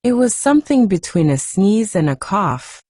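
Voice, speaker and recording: A woman narrator acts out a prim, mischievous young girl from a storybook. She is dignified yet confiding, with a swooping pitch and a soft tone. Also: very animated, normal speed, slightly rough, no echo, clean studio sound.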